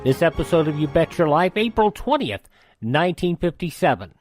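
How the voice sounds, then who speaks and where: A man's voice: a little high, big pitch swings, fairly quick, darkish tone, soft background music. A man hosting a radio show, speaking in a folksy, conversational way as he reads promos and introductions.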